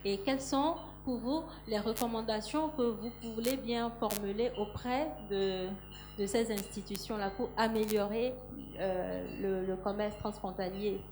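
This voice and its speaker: A woman's voice: medium pitch, steady tone, unhurried, darkish tone, faint music behind. Polite woman moderating a panel. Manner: polite, brief questions.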